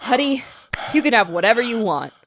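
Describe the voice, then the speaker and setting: Woman playing urgent high-voiced scientist. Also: very animated, a little fast, a bit bright, no echo, heavily acted. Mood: relieved. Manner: commanding, anxious, emphatic. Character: earnest, urgent young scientist coaching a trapped friend. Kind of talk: audio drama acting.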